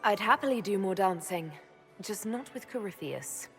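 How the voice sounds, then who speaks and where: An actress playing a composed, quick-witted noblewoman leader in a video game, with a dry, guarded, polite manner. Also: mid-range voice, animated, soft background music, lightly acted. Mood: dryly amused. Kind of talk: video game character acting.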